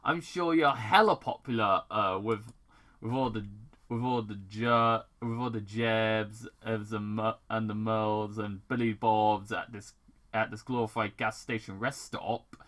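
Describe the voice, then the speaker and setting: Man acting out an arrogant, sharp-voiced mad scientist. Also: lowish voice, fairly even intonation, unhurried. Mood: mocking. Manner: condescending, snappish. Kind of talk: amateur fan fiction read-aloud.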